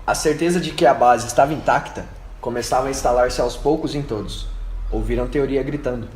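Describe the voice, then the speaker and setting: A man's voice: medium pitch, fairly quick, a little mellow. A man reading scripted narration for an amateur radio drama. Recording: echoey room with faint noise.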